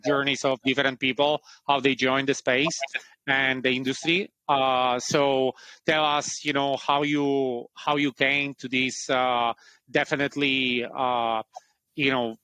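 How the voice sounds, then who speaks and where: A man's voice: medium pitch, flat delivery, moderate pace, pushed and bright, low-quality recording. A man hosting a podcast interview, talking hesitantly with many fillers. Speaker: podcast host.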